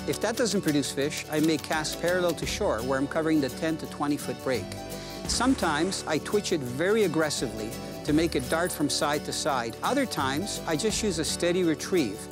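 A man's voice: a little high, normal speed, over music. Older man explaining fishing on a lake. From Canada. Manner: relaxed and explanatory, easily excited by a catch. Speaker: fishing show host. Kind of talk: casual outdoor fishing commentary. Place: outdoors on a lake in a kayak.

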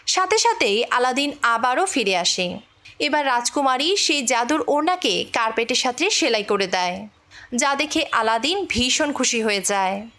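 A woman's voice: fairly high pitch, pushed and bright. A woman telling a film's plot as scripted story narration. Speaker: movie recap narrator.

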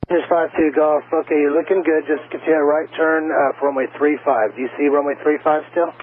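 A man's voice: fairly high pitch, steady tone, fairly quick, narrow, tinny audio. American male air traffic controller on crackly radio. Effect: radio transmission.

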